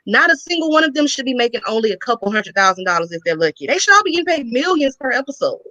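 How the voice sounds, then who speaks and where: A woman's voice: high-pitched, sing-song, fast talker, bright, forceful tone, no echo. A woman calling in as a guest, giving earnest, emphatic commentary. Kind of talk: impassioned commentary. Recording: dull lo-fi call audio.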